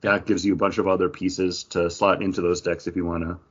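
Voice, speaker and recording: A man with a husky voice explaining things informally as a podcast co-host in casual chat. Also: low voice, flat delivery, brisk, lo-fi sound. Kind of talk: casual chat about games and comics.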